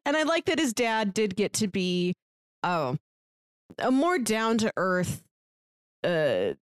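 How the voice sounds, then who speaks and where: A woman co-hosting a podcast, casually discussing a book in a reflective, analytical way, pausing to find words. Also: mid-range voice, big pitch swings, smooth, no room sound, studio quality. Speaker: podcast co-host.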